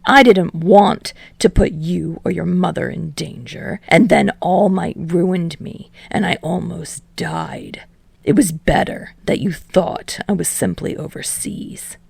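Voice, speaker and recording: A woman voicing a middle-aged villain father in an audiobook, measured, breathy and self-justifying. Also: fairly low pitch, fairly even intonation, a little mellow, dry recording, exaggerated voice. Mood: calm, smooth. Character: menacing, smooth deep-voiced villain father, middle-aged man.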